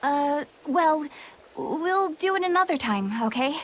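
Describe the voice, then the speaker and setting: High, lively, proper young woman. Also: slow, full character voice. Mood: awkward, earnest. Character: polite, earnest young woman, easily flustered.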